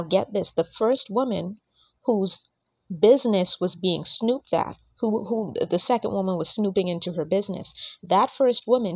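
Raspy-voiced woman preaching, low and gravelly. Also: no echo, dull audio. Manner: earnest, direct, uses casual slang. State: under the weather, a bit raspy. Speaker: prophetic minister. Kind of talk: casual prophetic message.

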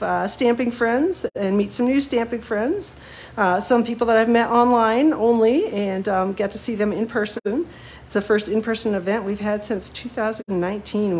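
A woman's voice: medium pitch, darkish tone, a little hiss or hum, low-quality recording. A woman demonstrating card-making live, chatty and self-deprecating with lots of ums, a little sniffly from a cold. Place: home craft desk, seen from above.

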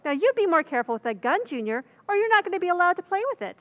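A woman performing a sensible, sharp-tongued housewife in an old-time radio comedy, dry and needling. Her voice is very high and lively. The sound is dull and lo-fi. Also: very fast.

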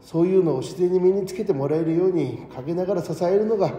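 A man acting an ageing, modest schoolteacher in an audio drama, speaking as a reflective, principled and gently frank mentor. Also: sits high, close to an everyday voice. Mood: reflective, earnest. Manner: reflective, measured mentor talk. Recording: echoey hall reverb, music underneath.

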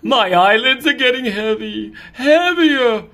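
A grumpy, dramatic house cat from a picture book, as a woman reader puts it on. The pitch jumps high and swoops widely. Words are drawn out and exclaimed with great self-importance. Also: animated, takes it slowly, fairly bright tone, exaggerated voice. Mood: weary, irritated.

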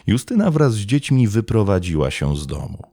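A man narrating a scripted true crime story as podcast host, in a measured, dramatic storytelling manner. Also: on the low side, big pitch swings, mellow, no room sound, clean studio sound.

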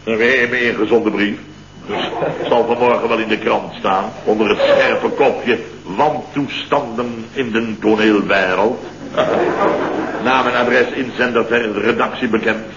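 A man's voice: on the low side, fairly even intonation, measured pace, roomy sound, natural voice. Guy playing flustered blustery boss in old radio comedy, sputters a lot, noisy muffled recording. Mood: rueful. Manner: sputtering, repeats words and names. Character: blustering, exasperated male office boss, easily flustered. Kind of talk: 1970s Dutch radio comedy acting.

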